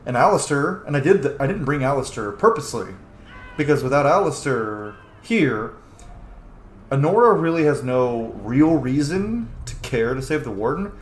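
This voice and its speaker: A man's voice: medium pitch, big pitch swings, moderate pace. A man hosting a roleplay playthrough of a video game, chatting informally and casually. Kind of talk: casual let's-play commentary. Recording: roomy echo, faint background noise.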